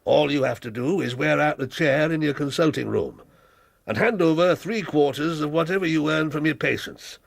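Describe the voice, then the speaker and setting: A blunt, shrewd older man of business for period radio drama. The voice is husky and full of gravel, fairly high for a man. He is domineering and jovially pushy, in a very dry recording. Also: moderate pace, dry recording. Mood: brisk, self-satisfied. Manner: brusque, bluff, wheedling.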